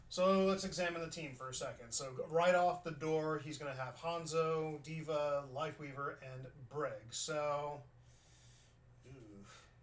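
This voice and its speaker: A man from New York giving casual, chatty commentary while reviewing gameplay footage, thinking out loud and restarting his sentences. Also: fairly high pitch, fairly even intonation, a little slow.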